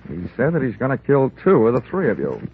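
An American man playing a wry, hard-boiled insurance investigator in a 1950s radio drama, with a clipped, deadpan, wisecracking delivery. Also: mid-range voice, quick pace, phone-quality sound, heavy background noise. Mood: cool, probing. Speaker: lead actor and narrator. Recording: band-limited vintage radio recording, music behind.

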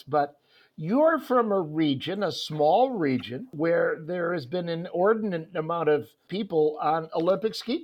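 A man's voice: fairly high pitch, creaky. A man hosting a sports interview, talking in a rambling way with many fillers and restarts. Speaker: host.

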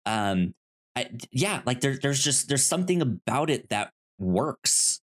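A podcast co-host chatting casually about movies in a halting way, often repeating sounds and the starts of words. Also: medium pitch, sing-song.

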